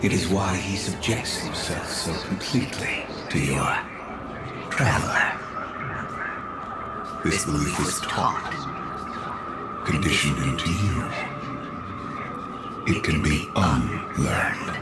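An actor playing an ancient, sinister otherworldly tempter in a video game, calm and persuasive, with breathy whispers and deliberate, cryptic delivery. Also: male voice, low voice, over loud music. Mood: calm, insidious. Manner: slow, deliberate, cryptic pronouncements. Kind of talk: video game villain monologue.

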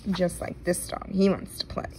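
A woman reading a children's nonfiction book aloud in a careful, deliberate manner. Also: fairly low pitch, fairly even intonation, a little mellow, a little hiss or hum, poor audio. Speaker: read-aloud reader.

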